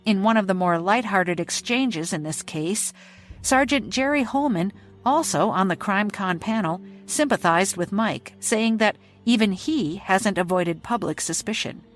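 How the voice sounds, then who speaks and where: Lady narrating a true crime podcast, steady scripted read, faint music behind. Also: mid-range voice, unhurried. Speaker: podcast host.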